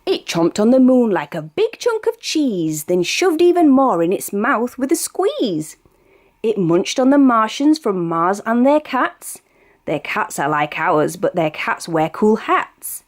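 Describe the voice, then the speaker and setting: British woman reading aloud, lively swooping pitch. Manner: expressive, sing-song storytelling. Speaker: storybook reader. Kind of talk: read-aloud of a rhyming children's picture book.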